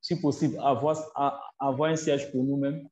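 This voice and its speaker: A man answering in a webinar interview, halting and repeating himself as he searches for words. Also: medium pitch, flat delivery, a little mellow. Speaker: association leader guest. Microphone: video call. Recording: echoey, muffled online call audio.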